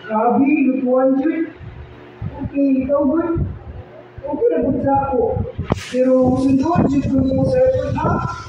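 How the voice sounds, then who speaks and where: In a noisy, very echoey radio drama recording, a man plays an affectionate boyfriend in his thirties. His voice is high and casual, with a Filipino accent. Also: fairly even intonation, a little slow, long pauses. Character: sweet-talking 37-year-old boyfriend, a fast food manager. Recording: very echoey, distant, dull, noisy.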